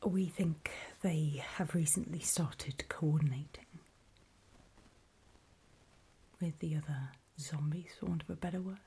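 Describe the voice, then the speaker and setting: A woman playing a grave, weary apocalypse survivor in a horror ASMR roleplay, speaking in a soft, breathy, hesitant whisper. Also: low-pitched, normal speed, heavily acted. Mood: grave, wary. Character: grave, weary female apocalypse survivor briefing others on zombies.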